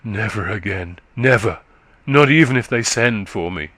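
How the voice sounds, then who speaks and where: A man voicing a weary, faint middle-aged countrywoman who is exhausted and short of breath, speaking slowly and low. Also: animated, fairly bright tone, dry recording. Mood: bitter. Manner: slow, low, sorrowful.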